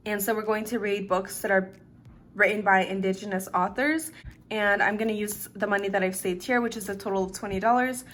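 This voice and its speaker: A young woman talks casually to her viewers in a relaxed, easygoing way. Her voice is a little raspy from lack of sleep, sitting at an ordinary middle pitch, and she drops in "like" often as she goes. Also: slight background noise. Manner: relaxed, chatty, uses "like" and "literally" often. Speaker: budgeting vlogger. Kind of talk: casual chat to camera.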